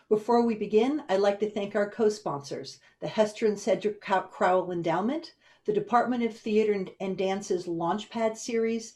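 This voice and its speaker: Woman giving a formal, measured welcome. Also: medium pitch, darkish tone. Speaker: humanities center director introducing an event. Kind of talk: formal prepared welcome speech. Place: online webinar.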